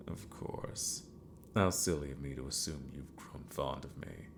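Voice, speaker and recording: A very deep-voiced villain mentor played by a man speaks slowly in a fantasy ASMR roleplay. He is courteous, scheming and a little unhinged, with a gravelly creak to his formal, theatrical phrasing. Noticeable noise sits behind the voice. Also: full character voice. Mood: amused, gently affectionate. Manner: slow, formal, theatrical, sometimes muttering to himself.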